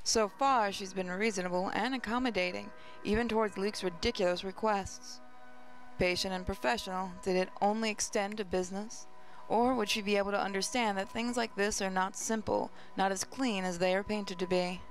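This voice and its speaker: A woman's voice: medium pitch, steady tone, moderate pace, faint music behind, poor audio. A woman hosting a gaming channel, reading story narration aloud with her own asides.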